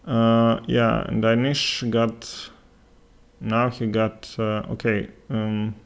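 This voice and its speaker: A young man from Latvia with a Russian accent, commentating a live chess game in a halting way, with many fillers and pauses. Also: mid-range voice, level tone, gravelly, clear, resonant tone.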